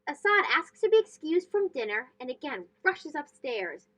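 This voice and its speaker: A woman reading a children's storybook aloud as host, expressive and phrased for young listeners. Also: high-pitched, normal speed, low-quality recording.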